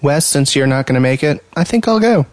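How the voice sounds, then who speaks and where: A man's voice: on the high side, lively intonation, a little fast, a little mellow, dry recording. Joking man in a dry, close recording. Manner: joking, teasing. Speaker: podcast co-host. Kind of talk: casual group podcast banter.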